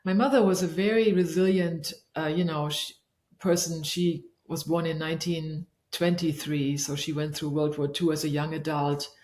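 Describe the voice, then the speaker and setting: A woman's voice: sits low, flat delivery, normal speed, darkish tone. Reflective middle-aged woman, echoey room. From Germany. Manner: thoughtful, searching for words, many fillers. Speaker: guest, leadership coach. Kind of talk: reflective interview conversation.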